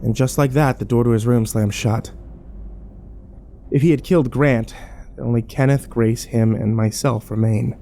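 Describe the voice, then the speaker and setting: A man's voice: mid-range voice, steady tone, normal speed, vocal fry, dark tone, a little hiss or hum, lightly acted. A man playing a troubled, wary survivor who narrates his ordeal in a brooding first-person horror drama. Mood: uneasy.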